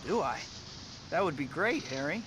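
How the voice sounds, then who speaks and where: A man's voice: a little high, expressive, normal speed, noisy background, natural voice. A man voicing a young, earnest and slightly awkward superhero in a video game. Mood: friendly. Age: young adult.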